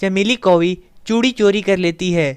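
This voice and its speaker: A woman's voice: mid-range voice, normal speed, darkish tone, clean tone. A woman narrator reading a scripted children's story.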